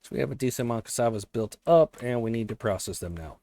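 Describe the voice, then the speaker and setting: A man thinking aloud while he plays a strategy game, his voice a little hoarse, often correcting himself as he goes. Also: mid-range voice, animated, fast, warm tone. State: voice slightly hoarse. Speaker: let's play host. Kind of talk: casual gameplay commentary.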